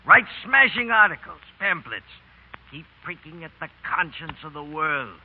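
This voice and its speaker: A man's voice: on the high side, expressive, moderate pace, natural voice. An actor playing an earnest, persuasive statesman friend with an emphatic 1930s radio delivery. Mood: urgent, pleading. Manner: emphatic, rhetorical. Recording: tinny, hissy 1930s radio recording.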